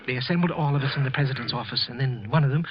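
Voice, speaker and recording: An actor playing a frightened, guilt-ridden office clerk confessing in a halting 1940s radio suspense narration. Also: male voice, mid-range voice, a crowd in the background, lightly acted. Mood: fearful. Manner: tense, halting, repeating himself. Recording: muffled old radio recording.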